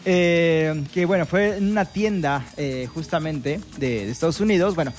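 A man's voice: fairly high pitch, normal speed, soft background music. A man with a Mexican accent co-hosting a radio sports show, playful and joking, often restarting his sentences. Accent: Mexican Spanish. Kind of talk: casual radio chat. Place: radio studio.

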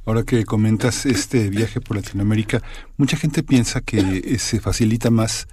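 A man's voice: fairly low pitch, normal speed, a little mellow, a little hiss or hum. A male radio host asking long, reflective questions in an interview.

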